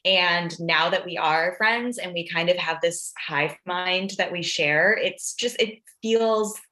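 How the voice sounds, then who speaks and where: A woman's voice: lowish voice, slow pace, bright, forceful tone. A young woman guest on a podcast, chatting casually with a playful, tongue-in-cheek manner. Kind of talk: casual chat.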